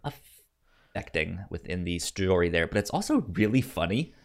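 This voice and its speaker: A man co-hosting a podcast in casual film chat, halting and hesitant, with a stutter that repeats sounds and words. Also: low voice, sing-song. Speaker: podcast co-host.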